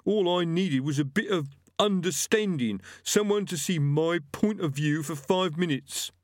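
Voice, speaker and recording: Man voicing a thuggish male butcher ghost, aggrieved, raised pitch, stumbles over words. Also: measured pace, dry recording, clean studio sound, close to an everyday voice.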